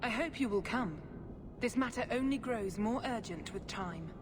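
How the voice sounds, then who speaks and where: An actress playing a pious, scheming middle-aged sister in a video game, speaking in measured, formal phrases. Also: female voice, on the high side, animated, close to an everyday voice. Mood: guarded, urgent. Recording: long hall reverb, clear background noise.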